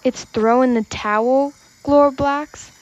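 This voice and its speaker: A woman's voice: high voice, steady tone, slow, darkish tone. A woman playing a practical ship's engineer in a sci-fi comedy audio drama, dry and sarcastic. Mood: dry sarcasm. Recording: slightly dull sound, faint noise.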